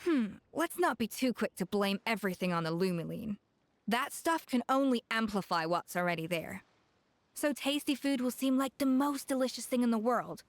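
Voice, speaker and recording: An actress playing a blunt, exacting female genius chef in a video game, with a brisk, matter-of-fact scolding manner. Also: on the high side, dry recording. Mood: stern, lecturing.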